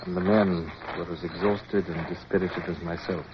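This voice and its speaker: An actor with an English accent playing a weary, dutiful naval officer who narrates in formal, measured style in a radio drama. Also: male voice, low-pitched, steady tone, lightly acted. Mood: weary, reflective. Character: weary, dutiful British naval officer, resolute yet dispirited. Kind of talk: radio drama acting, first-person narration. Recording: dull, noisy vintage radio recording.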